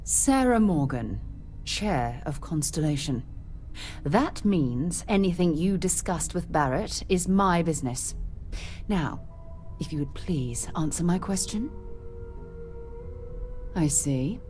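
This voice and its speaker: A poised leader of explorers in a video game, brisk and commanding. Her voice is on the low side, with faint music behind it. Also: animated, a little mellow. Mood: commanding, probing. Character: composed, authoritative woman leading an explorers' society. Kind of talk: scripted video game acting.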